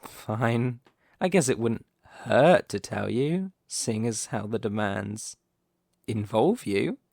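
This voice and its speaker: A smug, possessive crime family boss voiced by an audio roleplay performer holds total control of the room. The delivery is slow and deliberate, in a medium-pitched voice with a very dry, close sound. Mockery and theatrical menace run through the phrasing, as in an intimate dramatic monologue. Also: lively intonation, gravelly, darkish tone, dry recording, full character voice. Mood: commanding.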